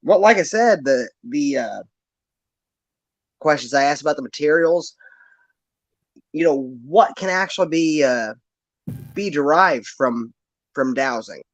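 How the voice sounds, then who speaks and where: A man from the United States with a rural American accent, hosting a casual interview in a folksy, unhurried way. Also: on the high side, animated, no room sound, poor audio. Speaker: show host.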